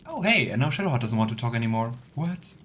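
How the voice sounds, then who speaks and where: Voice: mid-range voice, very animated, quick pace, a bit bright, a little hiss or hum. A let's player giving casual, musing commentary on a game and reading dialogue options aloud. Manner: musing, chatty asides.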